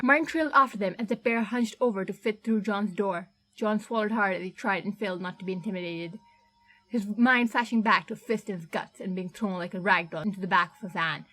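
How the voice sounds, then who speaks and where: A woman reading fan fiction aloud as a podfic in steady, even narration. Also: medium pitch, poor audio. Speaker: podfic reader.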